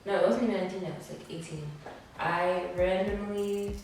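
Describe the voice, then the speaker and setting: A young woman from the DC area, a guest DJ and stylist, chatting casually in a relaxed, slangy way with lots of fillers. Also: medium pitch, brisk. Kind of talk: casual podcast interview. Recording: echoey room, distant mic, faint hiss.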